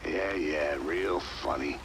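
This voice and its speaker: A man playing a gruff, sardonic FBI agent in a video game, with a dry, sarcastic delivery. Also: very deep voice, slow pace, noisy background, exaggerated voice. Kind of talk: cinematic video game acting.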